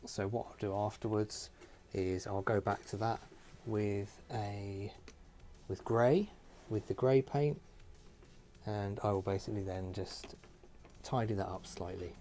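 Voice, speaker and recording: A man hosting a hands-on tutorial, talking casually and thinking aloud in an unhurried way with pauses. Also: on the low side, fairly even intonation, darkish tone, slight background noise. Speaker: host of a model painting tutorial. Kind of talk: casual talk-through while painting.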